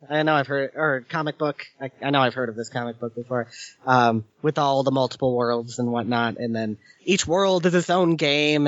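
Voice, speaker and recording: Male co-host with a slightly high voice, dry and teasing, dull lo-fi audio. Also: a bit bright, no echo. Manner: dry, teasing, corrects facts bluntly. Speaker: podcast co-host. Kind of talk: casual chat.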